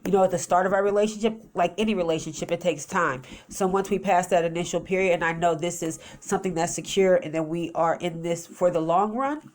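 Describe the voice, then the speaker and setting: A woman's voice: on the low side, fairly even intonation, fairly quick, hardly any pauses. Confident woman in her forties, podcast host. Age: 40s. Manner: laughing, joking, confident. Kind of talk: casual chat.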